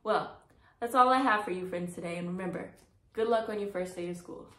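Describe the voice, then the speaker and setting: A young woman hosts a children's read-aloud in an echoey room. She mixes storybook narration with casual asides, at a medium pitch. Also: brisk, pushed and bright. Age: young adult. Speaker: storybook reader, host. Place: small indoor room.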